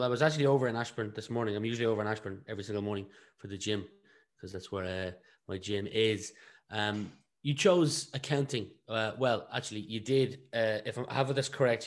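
Irish male podcast host, medium pitch, informal conversational interviewer.

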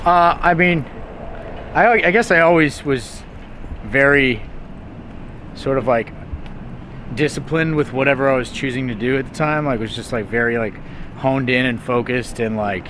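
A man's voice: mid-range voice, moderate pace, long pauses, a bit bright, a little hiss or hum, rough recording. A young man from Texas, a music artist being interviewed, talking in a rambling, hesitant way full of fillers. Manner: rambling, hesitant, heavy use of "like". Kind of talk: casual interview talk.

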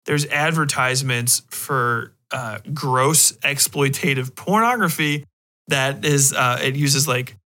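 A man's voice: mid-range voice, slow pace, bright, forceful tone. A man hosting a podcast, giving his opinions in a casual, rambling, emphatic way with plenty of swearing. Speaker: podcast host.

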